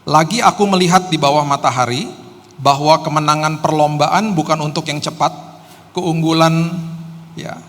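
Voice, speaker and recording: A man's voice: on the high side, moderate pace, a bit bright. A middle-aged man preaches as a guest pastor in a conversational, storytelling way with self-deprecating humour. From Jakarta, Indonesia. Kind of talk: sermon with casual personal storytelling. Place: church hall during live service. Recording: reverberant hall, faint background noise.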